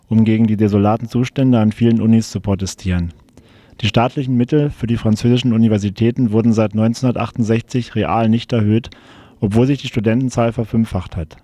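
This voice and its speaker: A man's voice: on the low side, mellow, dry recording. A man reading a scripted news report on the radio.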